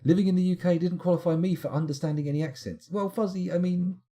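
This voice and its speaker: A man's voice: sits high, fairly even intonation, quick pace, mellow. A man, chatting and rambling while he streams a game late at night and answers his chat, sounding tired. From England. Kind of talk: casual live-stream chat.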